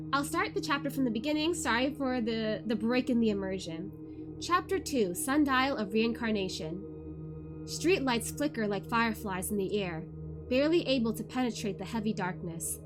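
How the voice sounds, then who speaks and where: A woman hosting a read-along livestream in an informal, expressive way, with music in the background. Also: a little high. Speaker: VTuber host of a book read-along. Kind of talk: casual chatty livestream; expressive audiobook-style narration of a novel.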